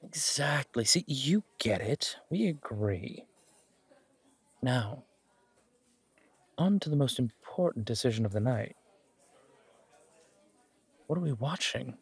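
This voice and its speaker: Mafia boss on a date, male actor: husky, dry close sound, intimidating reputation, playful and affectionate delivery, casual teasing, romantic audio drama. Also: medium pitch, animated, takes it slowly, pauses often, no room sound, full character voice. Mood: relaxed, teasing. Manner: teasing, casual, mock-indignant, swearing.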